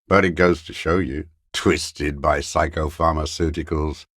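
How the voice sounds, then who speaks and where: An actor playing a bitter, paranoid old communist deserter in a video game, with a weary, gravelly voice and a halting, brooding, contemptuous mutter. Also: male voice, very deep voice, fairly even intonation, fairly bright tone, no echo, studio quality, heavily acted. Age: older. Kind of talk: video game character voice acting.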